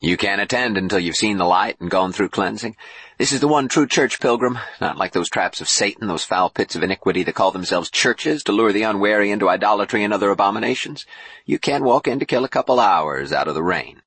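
A man voicing a brash, jovial bishop in an audiobook, a folksy, booming hard-sell showman. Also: animated, fairly quick, a bit bright, breathy edge, no room sound, poor audio. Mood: hearty, preachy. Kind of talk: audiobook character voice.

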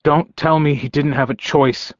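Man playing bitter, guarded young man for audiobook fiction: mid-pitched, grumbling, curt. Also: steady tone, moderate pace, no echo, highs cut off, close to an everyday voice. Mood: bitter anger.

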